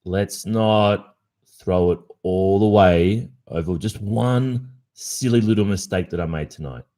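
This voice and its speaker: A man's voice: on the low side, slow pace, warm tone. An Australian man playing a panicked, apologetic cheating boyfriend in an ASMR roleplay, stammering and imploring. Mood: panicked, pleading.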